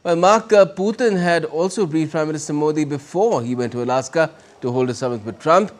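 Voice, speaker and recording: A man's voice: a little high, brisk. A man with an Indian accent anchoring a live news broadcast, reading developments and putting questions to a colleague.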